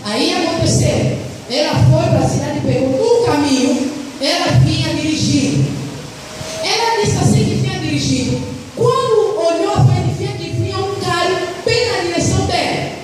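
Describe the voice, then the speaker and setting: A woman with a high voice preaches in a church, telling a testimony in an earnest way. Her voice booms around a big, echoey hall. The mic is set some distance from her, and steady noise runs underneath. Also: normal speed. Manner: earnest storytelling, asks the congregation for amens. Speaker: missionary preacher. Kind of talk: sermon with a personal testimony.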